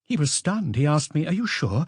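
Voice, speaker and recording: A man's voice: a little high, very animated, normal speed, slightly rough, no echo, clean studio sound, voice pushed up. A man voicing an anxious, hesitant young woman who is confiding under questioning in an audiobook. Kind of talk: audiobook character voice.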